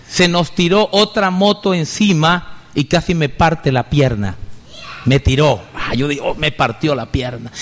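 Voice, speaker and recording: A man's voice: on the high side, big-room sound. A middle-aged man from Venezuela, a pastor preaching with fervor and personal testimony, calling his listeners brother again and again. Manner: fervent, repeatedly addresses listeners as brother.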